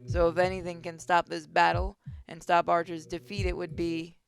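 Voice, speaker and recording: A narrator reading visual novel text aloud in a measured, dramatic way over game music. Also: mid-range voice, little pitch movement, gravelly. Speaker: gaming channel narrator.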